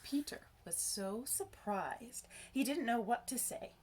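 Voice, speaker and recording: A woman reading a children's story aloud, with expressive, measured narration and dramatic phrasing. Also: on the high side, husky. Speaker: storybook reader.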